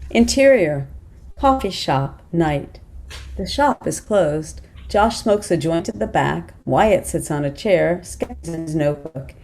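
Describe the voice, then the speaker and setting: A woman's voice: low voice, lively intonation, slow, a little mellow, a little hiss or hum. A woman reading screenplay stage directions as scripted narration for a table read.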